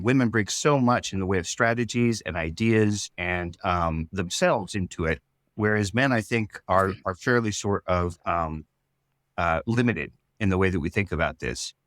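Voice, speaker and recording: A man speaking as a writer in a casual interview, explaining his ideas in a thoughtful, halting way. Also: sits low, fairly bright tone, no room sound, studio quality. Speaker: writer and storyteller.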